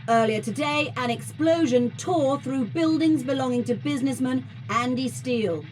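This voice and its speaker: Female TV newsreader, acted, high voice, formal bulletin style, light music bed. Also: normal speed, a little mellow, close to an everyday voice, poor audio. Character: female television news reader. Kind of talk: formal scripted news reading.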